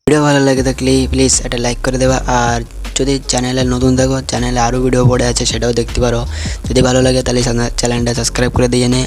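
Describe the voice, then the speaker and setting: A man's voice: mid-range voice, completely flat delivery, rapid-fire, darkish tone, soft background music. A man casually addressing viewers as a channel host, rambling and repeating himself. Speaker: YouTube reaction channel host. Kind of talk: casual video intro and outro to viewers.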